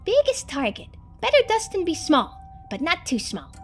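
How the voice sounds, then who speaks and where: A woman's voice: very high-pitched, huge pitch swings, moderate pace, faint music behind, pitched above the performer's own voice, exaggerated voice. A woman voicing a small talking rodent in a video game, speaking in broken, childlike third-person grammar, proud of simple treats. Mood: earnest, musing.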